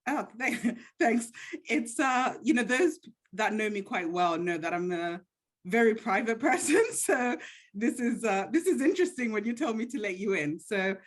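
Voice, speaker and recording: A woman's voice: on the high side, lively intonation, normal speed. A young woman from Zambia talks through her story as an interview guest. Her tone is friendly and modest, and her conversational answers unfold at an easy pace. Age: young adult. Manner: friendly, laughs lightly, self-effacing.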